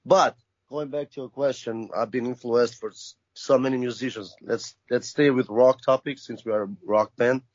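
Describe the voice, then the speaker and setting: A man who grew up in Albania talks casually as a band guest, easygoing and a bit halting. The sound is dry and slightly muffled. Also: medium pitch, fairly even intonation, a little mellow, no echo. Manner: easygoing, chatty, hesitant restarts. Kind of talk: casual interview chat.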